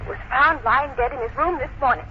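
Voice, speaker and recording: A woman plays a genteel, curious lady sleuth's companion in old radio acting. Her voice is very high and polished, on a dull recording with faint noise. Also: fairly quick, natural voice. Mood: troubled.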